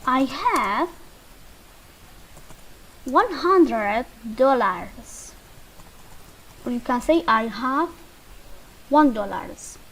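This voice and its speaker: Slow, lively woman teacher. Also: sits high, rough recording. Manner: slow, drawn-out drilling with repetition. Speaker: English teacher. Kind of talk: slow beginner English lesson.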